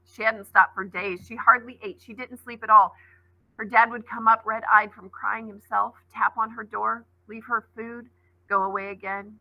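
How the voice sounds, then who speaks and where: A woman's voice: on the high side, fairly even intonation, normal speed, gravelly, dry recording, muffled recording. A woman teacher reading a novel aloud expressively, adding brief asides.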